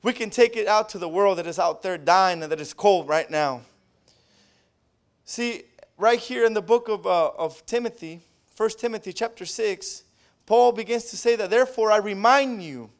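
A man with a Spanish accent preaching a sermon, tacking an amen onto nearly every phrase and rising into loud fervent bursts. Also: sits high, normal speed. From Southern California. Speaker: pastor.

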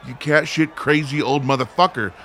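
Casting: male actor as urgent, sweary, troubled son for radio play; higher-than-average male voice, light background ambience. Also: normal speed, sharp tone, slight background noise. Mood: furious. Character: distraught, foul-mouthed son of a deranged father. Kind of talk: radio drama acting.

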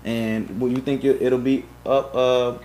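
A man's voice: medium pitch, little pitch movement, unhurried, mellow, slight background noise, rough recording. A man co-hosting a casual podcast, promoting upcoming guests and social pages with lots of fillers and restarts. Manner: hyped promo talk with many fillers and restarts. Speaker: podcast co-host.

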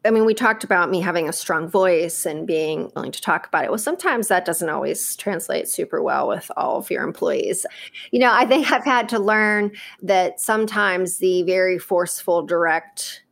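A candid, straight-talking woman answering interview questions, with a voice pitched a bit low for a woman. She talks in quick, confident bursts and sprinkles in plenty of "like" and "you know". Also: vocal fry. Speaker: guest, operations executive. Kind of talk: casual interview conversation.

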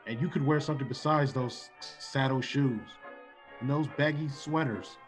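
An actor playing a forward, blunt suitor in a classic radio play, theatrical and sometimes stammering. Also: male voice, medium pitch, moderate pace, lightly acted. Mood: courting. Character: brusque, forward male suitor courting a young woman. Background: music behind the voice.

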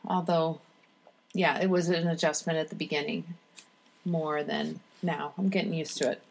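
A woman's voice: deep voice, little pitch movement, moderate pace, gravelly, background noise. A woman speaking as a podcast guest, in casual conversation and reading aloud informally.

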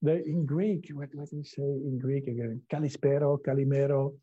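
Man, guest therapist, playful, husky, dark mellow voice. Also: mid-range voice, steady tone, normal speed, lo-fi sound. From Belgium. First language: Dutch. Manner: playful, jokey. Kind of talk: casual interview chat.

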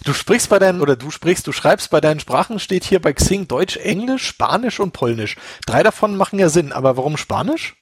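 A man's voice: a little high, animated, brisk, few pauses, breathy edge, dry recording, clean studio sound. A man from Berlin hosting a casual interview, chatty and joking. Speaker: interview host.

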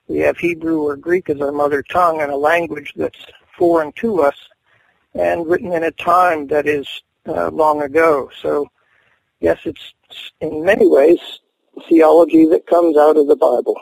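A middle-aged man, a professor, answers interview questions in a measured, thoughtful way. His voice is deeply gravelly and husky, and he pauses often to work through his ideas. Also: no echo, rough recording. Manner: measured, thoughtful, many fillers and restarts. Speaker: seminary professor, guest.

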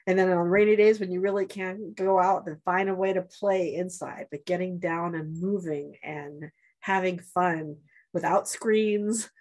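A woman's voice: fairly low pitch, steady tone, a little slow, a little mellow. A woman guest on a podcast, earnestly giving practical advice to mothers. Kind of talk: casual conversation.

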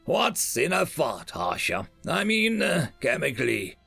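A man's voice: on the high side, wildly swooping pitch, measured pace, creaky, pushed and bright, breathy edge, soft background music, pitched above the performer's own voice, full character voice. A man voicing a blunt, sardonic male military general who fires off curt questions.

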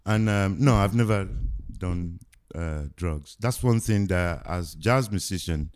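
Deep-voiced older Nigerian man, unhurried. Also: darkish tone. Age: 60s. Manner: slow, hesitant, reflective with many fillers. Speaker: guest musician. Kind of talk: casual podcast interview.

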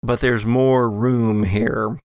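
A man acting as game master, narrating a tabletop horror game in a measured, halting way. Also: medium pitch, fairly even intonation, darkish tone, clear, resonant tone, dry recording, clean studio sound.

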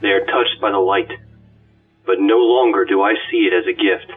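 A man voicing an awed, ancient ghost companion, delivering a reverent, measured and oratorical dramatic monologue about humanity. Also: on the low side, fairly even intonation, breathy edge. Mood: reverent wonder. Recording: distant, phone-like audio under music. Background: music.

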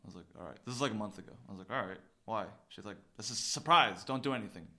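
Excitable low-voiced man telling a story. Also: rapid-fire. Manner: excitable, slangy, repeats himself. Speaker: podcast co-host. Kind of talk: casual chat, animated storytelling.

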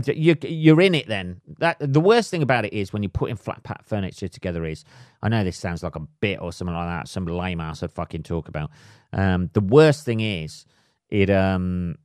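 A man, a little hoarse, ranting comically and swearing constantly in a rambling solo podcast monologue. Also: low-pitched, moderate pace, darkish tone, no room sound, clean studio sound. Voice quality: heavy swearing, rambling with many fillers. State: slightly hoarse. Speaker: podcast host. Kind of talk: solo comedic rant.

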